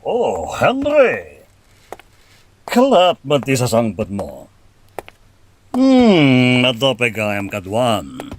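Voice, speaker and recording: A man's voice: medium pitch, wildly swooping pitch, drawn-out words, long pauses, a little hiss or hum, heavily acted. An actor playing an amused older father and landowner in an Ilocano radio drama, with a Filipino accent. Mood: welcoming.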